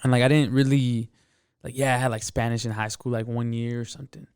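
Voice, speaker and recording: A man's voice: medium pitch, little pitch movement, a little fast, a little mellow, clear, resonant tone, no echo, studio quality. A young man from the United States chatting casually as an interview guest, laid-back and slangy, dropping "bro" into his sentences.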